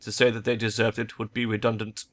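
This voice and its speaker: A man voicing a cold, guarded cyborg woman in a cyberpunk game, in a cool, detached monotone. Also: fairly low pitch, fast talker, close to an everyday voice.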